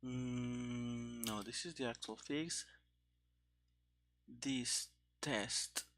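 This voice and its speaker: A game developer gives casual, halting commentary while coding. The voice is bright and mid-pitched, with slow delivery. Keyboard clicks and faint noise sit behind it. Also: fairly even intonation. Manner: halting, muttering to self while working. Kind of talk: casual think-aloud programming commentary. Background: typing and mouse clicks.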